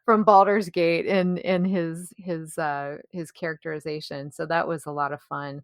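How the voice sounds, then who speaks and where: A woman's voice: low-pitched, little pitch movement, normal speed, no room sound, clean studio sound. A woman talking casually as the co-host of a podcast.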